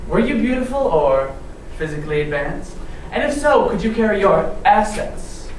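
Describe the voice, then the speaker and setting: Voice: medium pitch, big pitch swings, normal speed. A readers theatre performer telling a story with dramatic, emphatic stage delivery. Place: large indoor performance space, far mic. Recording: echoey hall from far off, noisy.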